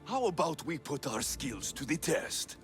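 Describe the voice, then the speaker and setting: Cocky greek mercenary in a game, slightly high, swingy pitch, dry delivery. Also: male voice, big pitch swings, measured pace, soft background music. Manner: dry, confident, taunting. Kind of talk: video game voice acting.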